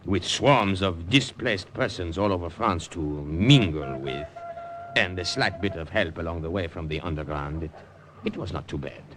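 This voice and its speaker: A man's voice: sits low, normal speed, lightly acted. A man acting a suave, wry poet spy and narrator in a 1950s-style radio drama, with a courtly, slightly hesitant manner. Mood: reassuring. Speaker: narrator and lead character. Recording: noisy old-time radio recording.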